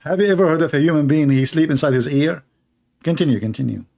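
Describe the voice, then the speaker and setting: A man's voice: a little high, lively intonation, fast, creaky, darkish tone. A man, hosting a commentary show and mocking video clips in a sarcastic, rambling way with many fillers.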